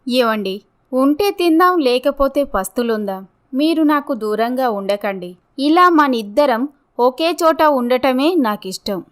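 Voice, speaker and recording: A woman's voice: high-pitched, animated, a little slow. A woman voicing a meek, hurt young village wife in a story, with an earnest, pleading manner. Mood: pleading, tender.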